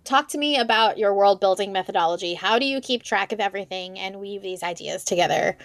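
A woman with a mid-pitched voice speaks as a podcast interviewer. Her delivery is conversational, and the recording is dry and free of room echo. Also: fast talker, vocal fry, fairly bright tone.

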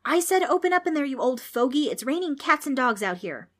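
A woman puts on a storybook demon, impatient and grumpy. The demon calls out in booming, demanding shouts, pitched well up. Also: sing-song, brisk. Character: gruff, impatient demon banging to get in.

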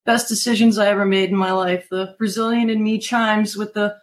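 A woman's voice: medium pitch, flat delivery, brisk. American lady from maryland being interviewed, mid-range voice, upbeat city official chatting away. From Maryland, United States. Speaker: city economic development manager, interview guest. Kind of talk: conversational interview answers.

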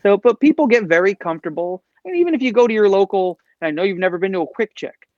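A man's voice: high voice, expressive, fast, no echo. Wry joking man on a dull line. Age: 40s. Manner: joking, wry, acts out customers. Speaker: comic shop owner, guest. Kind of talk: casual interview chat.

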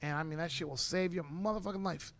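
A man telling loud, swearing road stories in a casual studio chat. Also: a little high, fast talker, gravelly. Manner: swears a lot, tells stories with loud laughs. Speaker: comedian, host. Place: podcast studio.

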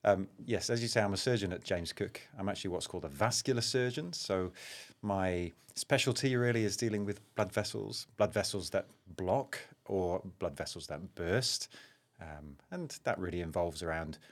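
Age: middle-aged. Sex: man. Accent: slight London. Pitch: very low. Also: moderate pace. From Zaire and England. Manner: anecdotal, self-deprecating, with frequent ums. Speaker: guest, vascular surgeon. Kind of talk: relaxed conversational storytelling.

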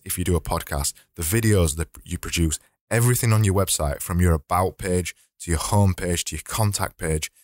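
A man hosting a casual solo podcast, his voice slightly hoarse and fading, rambling and correcting himself as he talks. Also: low voice, a little mellow, no echo, studio quality. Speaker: podcast host.

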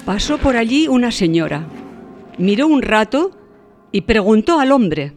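A woman's voice: fairly high pitch, sing-song, unhurried, darkish tone. A woman narrator reading a scripted literary audio story. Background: music.

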